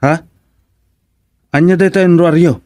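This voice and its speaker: A man's voice: a little high, fairly even intonation, measured pace, darkish tone, natural voice. Man playing a timid, hesitant royal soldier. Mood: puzzled. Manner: hesitant, stammering, respectful. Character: timid, deferential soldier serving the king. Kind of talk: radio comedy drama acting.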